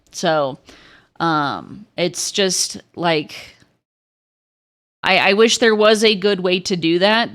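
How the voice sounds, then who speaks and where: A young woman with a crackly, lower voice, chatting frankly with stream viewers and swearing freely. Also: a bit bright. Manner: frank, swears a lot, many restarts. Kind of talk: casual chat to stream viewers.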